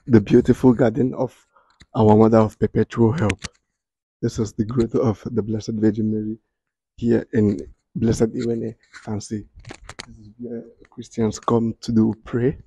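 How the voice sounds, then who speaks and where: Young Nigerian man with a dark voice. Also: on the low side, level tone, a little slow, lo-fi sound, a little hiss or hum. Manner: upbeat, addresses viewers directly. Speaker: real estate video host. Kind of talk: walking tour presentation. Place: outdoors in a church compound.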